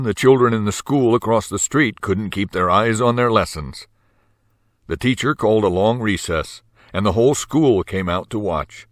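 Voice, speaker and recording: An older man reading a children's story aloud with dramatic, drawn-out phrasing. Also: fairly low pitch, a bit bright, dry recording, clean studio sound. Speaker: storybook reader.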